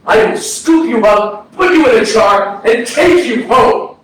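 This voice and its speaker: High-voiced grieving brother, echoing male actor. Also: animated, measured pace, fairly bright tone, exaggerated voice. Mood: pleading, defiant. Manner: earnest, declamatory. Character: grieving, guilt-ridden brother, earnest and poetic. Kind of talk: staged play reading, dramatic monologue. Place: small arts-centre stage, distant mic. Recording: very echoey stage, distant mic, noisy.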